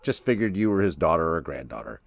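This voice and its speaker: A man voicing a genial, soft-spoken man in his eighties in an audiobook. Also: a bass voice, sing-song, fast, gravelly, dry recording, full character voice. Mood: helpful, knowing.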